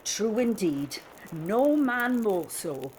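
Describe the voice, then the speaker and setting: An actress playing a sly, agreeable thieving laundress in an audio drama. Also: female voice, medium pitch, big pitch swings, takes it slowly, a little mellow, a little hiss or hum. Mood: sly, agreeing.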